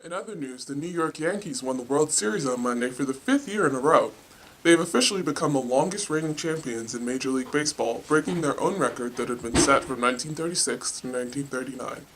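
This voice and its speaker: A man's voice: medium pitch, animated, fast talker, fairly bright tone, a little hiss or hum, rough recording. A man reading scripted news stories as a newscast anchor.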